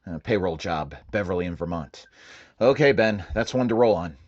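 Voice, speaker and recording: An actor playing a police detective in a 1940s-style radio drama, asking questions in a level, matter-of-fact way. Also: male voice, sits low, animated, moderate pace, no echo, lo-fi sound. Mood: businesslike. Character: calm male police detective, businesslike.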